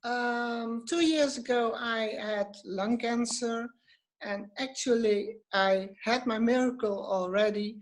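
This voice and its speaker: Woman, Dutch accent, slow, hesitant, video call caller. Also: a little high, rough recording. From Netherlands. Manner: hesitant, searching for words.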